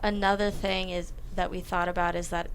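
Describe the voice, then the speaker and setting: A woman's voice: on the low side, level tone, fairly quick, gravelly, a little hiss or hum, rough recording. A young woman, a writer being interviewed, in casual conversation. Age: young adult. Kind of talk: casual chat.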